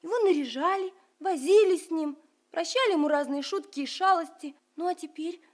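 A woman's voice: very high voice, a little fast. An actress playing an anxious, caring giant young girl in a Russian radio play, solicitous and childlike. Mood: anxious, warning.

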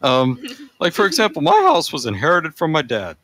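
Animated, higher-pitched man reading aloud. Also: normal speed, dry recording. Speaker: podcast reader. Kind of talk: comic dramatic reading of amateur writing.

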